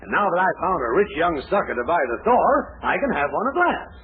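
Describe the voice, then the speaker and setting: A blustery, scheming storekeeper in an old radio comedy. His high voice swoops wildly as he cajoles. It sounds like a narrow 1940s broadcast with an audience behind it. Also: very animated, a little fast. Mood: breezy, smug. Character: blustery, scheming older male storekeeper, breezily self-serving.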